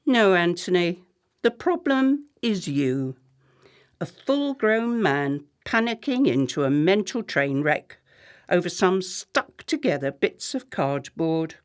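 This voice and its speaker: An acid-tongued, slow-spoken wife. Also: female voice, on the low side, big pitch swings, clean tone. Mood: acidic mockery. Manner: sarcastic, cutting, theatrically clipped delivery. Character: acidic, contemptuous, long-married middle-class wife. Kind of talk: radio play acting.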